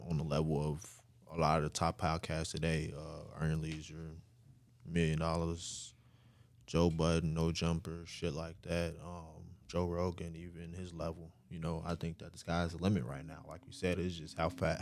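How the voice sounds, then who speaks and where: A man's voice: low-pitched, darkish tone. A man in his twenties, co-hosting a podcast in a laid-back, slangy way with plenty of fillers and swearing. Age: 20s. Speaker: podcast co-host. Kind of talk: casual podcast chat.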